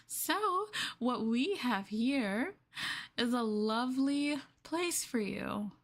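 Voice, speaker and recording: A woman voicing a sweetly possessive, obsessive young girlfriend who holds her lover captive, in a soft, cooing, hesitant ASMR roleplay delivery. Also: fairly high pitch, expressive, slow pace, heavily acted. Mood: possessive, wistful. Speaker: streamer roleplaying for viewers.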